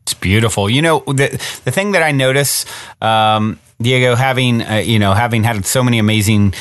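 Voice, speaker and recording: A man's voice: mid-range voice, moderate pace, fairly bright tone, no echo. A male podcast host with a rambling, heartfelt manner, full of "you know" and "uh" fillers. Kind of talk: reflective interview conversation. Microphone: remote interview over the internet.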